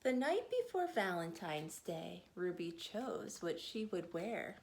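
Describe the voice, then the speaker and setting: A mother reading a rhyming picture book aloud for children, gentle and a little tired, in a steady storytelling cadence. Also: lowish voice, takes it slowly, vocal fry, a little hiss or hum.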